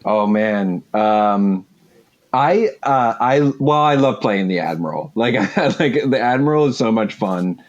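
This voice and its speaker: A man chatting casually as an interview guest, rambling and halting as he searches for words. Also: on the low side, expressive, muffled recording. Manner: halting, rambling, heavy use of like. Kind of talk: casual chat.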